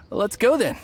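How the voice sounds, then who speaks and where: A man playing a glib, blustering bandit who poses as a merchant in a video game. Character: smooth-talking male bandit posing as a friendly merchant.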